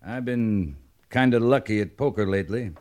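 An actor playing a wry, world-weary cavalry captain in a radio western, speaking in a dry, laconic, sardonic way. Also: male voice, fairly low pitch, moderate pace, darkish tone, close to an everyday voice. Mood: dry, probing. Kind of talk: 1950s radio western acting. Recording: noisy old radio recording.

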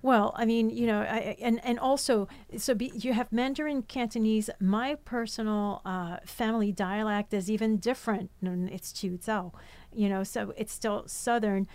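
A woman's voice: a little high, steady tone, normal speed, a little mellow. A woman born in France, a cookbook author, chatting as an interview guest, talking with many fillers and restarts. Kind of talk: casual interview chat.

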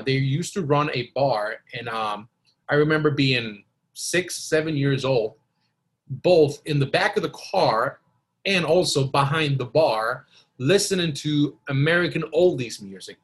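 A man from Costa Rica, a native Spanish speaker, chatting informally and telling anecdotes as a podcast guest. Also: mid-range voice. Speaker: podcast guest, blues guitarist. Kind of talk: casual storytelling in an interview.

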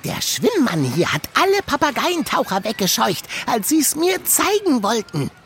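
High, lively cartoon talking animal played by a woman. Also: normal speed, a little hiss or hum. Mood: cheeky, eager. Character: cheeky talking animal, blunt and naive helper. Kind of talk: children's audio drama.

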